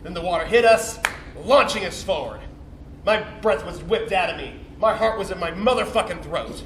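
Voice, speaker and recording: A man's voice: high-pitched, normal speed, fairly bright tone. A man on stage playing a cocky, bitter young sperm cell, telling his story as a slangy, swearing, defensive bro in a dramatic comic monologue. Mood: excited, awed. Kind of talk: theatrical comic monologue, confessional storytelling to audience. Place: indoor theatre stage, recorded from a distance. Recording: roomy, distant stage recording with faint noise.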